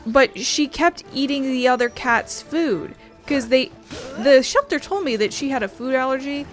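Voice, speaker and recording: A woman streaming a game, chatting casually in a rambling, blunt way. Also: a little high. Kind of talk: casual gameplay chat. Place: indoors at home. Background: game music.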